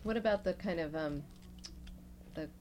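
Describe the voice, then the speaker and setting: Woman, interviewer, mellow tone, short questions and murmurs, faint background hum. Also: on the low side, normal speed. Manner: brief prompts and backchannel murmurs.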